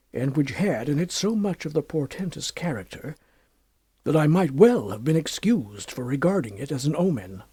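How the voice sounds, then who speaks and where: Man, audiobook narration, gothic horror, mellow husky tone, formal and measured, deliberate phrasing, dry close sound. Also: fairly high pitch, dry recording. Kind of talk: dramatic literary narration of a classic horror tale.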